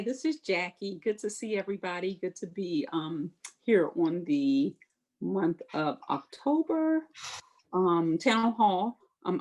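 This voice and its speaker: A woman's voice: on the low side, a little mellow. A woman who owns an agency, briefly and pleasantly introducing herself on a video call. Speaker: agency owner.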